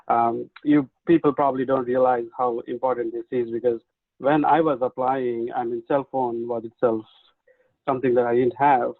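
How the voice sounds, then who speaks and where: A man's voice: medium pitch, dry recording. A male physician joins a video call. He speaks casually and jokes about his own connection trouble. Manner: joking, relaxed, self-deprecating. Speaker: physician faculty member. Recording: dry, tinny video call audio.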